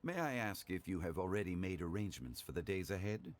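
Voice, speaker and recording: A man playing a refined, courteous, wise gentleman in a video game, speaking formally and eloquently with composure. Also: low-pitched, animated, brisk, heavy background noise. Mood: pleased, gracious.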